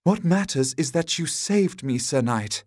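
A man's voice: fairly high pitch, lively intonation, unhurried, a little mellow, dry recording, studio quality, pitched above the performer's own voice. A man voicing a polite, grateful young damsel in a storybook, with courteous, formal speech.

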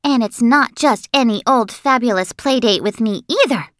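Casting: woman to play a boastful, self-admiring little girl for a children's audiobook, high voice, showy gushing delivery. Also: moderate pace, fairly bright tone, dry recording, close to an everyday voice.

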